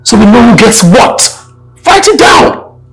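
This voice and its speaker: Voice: very animated, a little slow, a bit bright, soft background music. A performer voicing a hot-headed grown younger son who is greedy and combative. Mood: demanding. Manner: whiny and combative, swings high and low. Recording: roomy echo.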